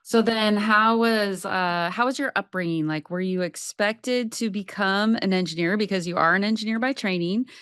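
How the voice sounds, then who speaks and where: Friendly woman hosting, clear voice. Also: medium pitch, moderate pace, few pauses, no room sound, studio quality. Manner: warm, friendly, joking. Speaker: podcast host, water agency general manager. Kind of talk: casual podcast interview.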